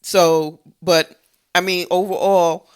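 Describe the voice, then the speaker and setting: A woman's voice: fairly low pitch, fairly even intonation, slow pace, clear, resonant tone. A woman co-hosting a casual movie review, talking conversationally and repeating her points for emphasis. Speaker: co-host of a movie review show.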